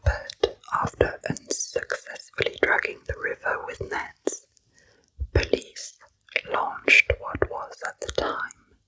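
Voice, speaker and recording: A woman whispering a slow true crime story as an ASMR narrator. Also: poor audio. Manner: slow, soft unvoiced whisper throughout.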